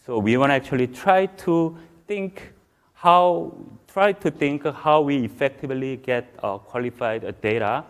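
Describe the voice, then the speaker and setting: A man in his forties with a Korean accent, a native Korean speaker giving a halting technical talk on a conference stage, with many fillers. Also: mid-range voice. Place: large conference hall stage.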